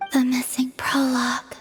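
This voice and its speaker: A woman voicing a solemn young moon goddess in a video game, soft and breathy, with a poetic, detached delivery. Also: high voice. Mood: enigmatic, calm. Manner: slow, poetic, measured. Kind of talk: English video game voice acting. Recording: game music behind the voice. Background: background music.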